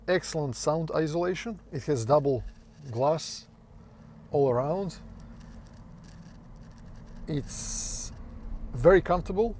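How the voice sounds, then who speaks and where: Slow, rambling man. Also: fairly high pitch, a little mellow, a little hiss or hum. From Croatia. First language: Croatian. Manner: loose, hesitant, full of fillers and restarts, swears casually. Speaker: car owner reviewing his new car. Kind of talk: rambling casual car review while driving. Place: inside a car.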